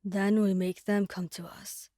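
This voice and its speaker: A woman's voice: mid-range voice, level tone, measured pace, warm tone, no room sound, studio quality, natural voice. A woman voicing an angry, aggressive young male mafia boss in an audio roleplay, impatient, growling and swearing. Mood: aggressive, determined.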